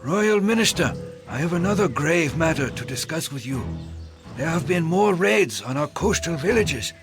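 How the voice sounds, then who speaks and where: Higher-voiced, stately king, music behind. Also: animated. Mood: solemn, gracious. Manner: regal, deliberate, formal. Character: stately, commanding king of old Korea. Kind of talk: scripted historical game cutscene acting. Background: orchestral game music.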